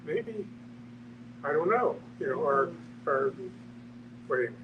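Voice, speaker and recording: A man with a slightly high voice talks in an informal group discussion, some distance from the microphone over a clear hum. He is halting, often reworks his phrases and voices questions as if asking them. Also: expressive, muffled recording. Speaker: discussion participant. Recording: distant mic with noisy hum.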